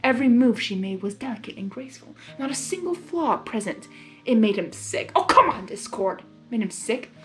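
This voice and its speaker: A woman reading fan fiction aloud as a host, gushing and giggly, breaking in with excited asides. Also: on the high side, normal speed, soft background music.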